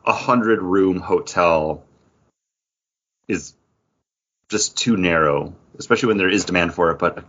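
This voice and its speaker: Low raspy guy talking on a podcast, explains stuff in bits, audio a bit muffled. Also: unhurried, pauses often, fairly bright tone. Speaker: podcast co-host. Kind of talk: casual chat about theme park attractions.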